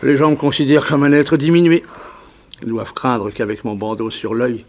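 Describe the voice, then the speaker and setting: A creaky-voiced older doctor played by a male actor in a sixties French radio play. He is a devoted father who feels diminished, and he speaks in theatrical, sighing, self-pitying tones at a middle pitch. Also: normal speed, close to an everyday voice. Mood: bitter resignation. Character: ailing, embittered older doctor, devoted father, once a surgeon. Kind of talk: 1960s French radio drama acting.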